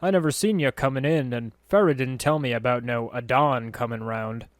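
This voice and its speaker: A man voicing a blunt, suspicious male thug guarding a doorway, gruff and wary. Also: medium pitch, moderate pace, no room sound, clean studio sound, lightly acted.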